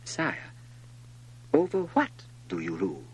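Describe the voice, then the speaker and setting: A man's voice: low-pitched, huge pitch swings, a little slow, breathy edge, heavily acted. A man voicing a polite, timid, curious young boy prince in a storybook, hesitant and earnest.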